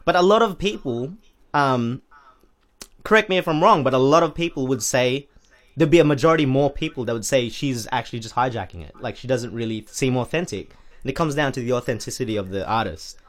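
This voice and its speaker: Animated Filipino Australian man. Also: fairly quick. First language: Tagalog. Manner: animated, emphatic, rising pitch when making a point. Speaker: podcast co-host and rapper. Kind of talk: casual chat.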